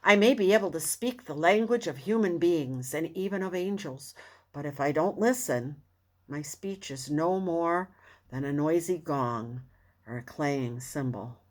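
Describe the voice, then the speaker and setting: A woman reading a self-help book aloud as narrator, earnest and deliberate, with expressive emphasis and pauses. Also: sits low. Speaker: audiobook reader.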